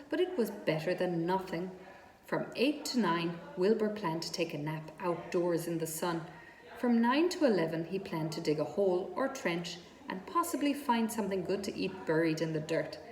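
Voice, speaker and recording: A woman reading a children's story aloud as narrator, with deliberate, expressive phrasing. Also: fairly low pitch. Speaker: storybook reader. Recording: echoey reverberant room with background noise.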